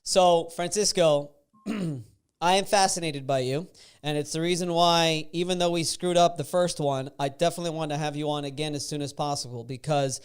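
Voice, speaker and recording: A man in his thirties hosting a casual podcast interview, energetic and chatty, laughing easily as he talks. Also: on the high side, moderate pace, clean tone. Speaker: podcast host.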